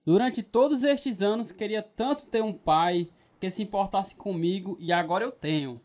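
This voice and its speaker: A man's voice: high voice, normal speed, a little mellow, natural voice. An actor playing an earnest male new convert, telling his story in a Brazilian radio drama. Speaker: narrator and lead actor. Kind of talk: scripted radio drama narration and dialogue.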